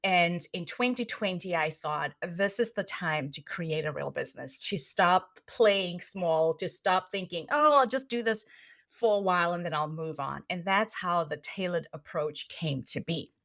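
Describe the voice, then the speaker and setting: A woman's voice: on the low side, a little slow. A middle-aged woman from South Africa with a South African accent, a trainer and coach chatting animatedly as a podcast guest. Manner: animated, laughs easily, repeats words when starting. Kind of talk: conversational interview.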